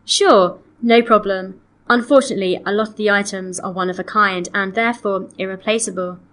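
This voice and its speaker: A woman acting as a polite female customer with a mid-range voice. She enunciates each detail in an orderly, measured manner, as in a scripted listening exercise. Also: mid-range voice, steady tone, a bit bright, lightly acted. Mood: polite, matter-of-fact. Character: polite woman customer phoning to report missing, damaged antiques. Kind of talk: scripted listening-test dialogue.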